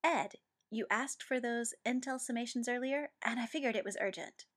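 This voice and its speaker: A woman's voice: on the high side, normal speed, a bit bright. A woman reading aloud gives voice to a cheerful male officer who breezes into the scene. He talks in a chatty, light way. He sounds fond of his family. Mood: breezy.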